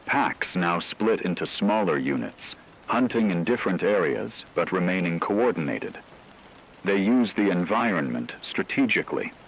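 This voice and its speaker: A man playing a grave radio newsman, delivering a scripted emergency report in a measured announcer's manner. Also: sits low, creaky, slight background noise, exaggerated voice, low-quality recording. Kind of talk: scripted fictional radio news narration.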